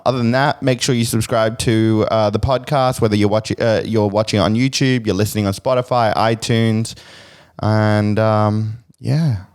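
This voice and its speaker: A young Australian man hosting a casual podcast chat, talking in a relaxed way with many fillers and restarts. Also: lowish voice. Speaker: podcast host.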